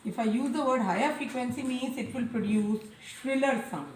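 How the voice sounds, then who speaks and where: Echoey woman teacher explaining slowly. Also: on the high side. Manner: slow, deliberate, repeats key terms; speaks loudly for clarity. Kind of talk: classroom science lesson. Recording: echoey room, voice a bit far from mic, faint noise.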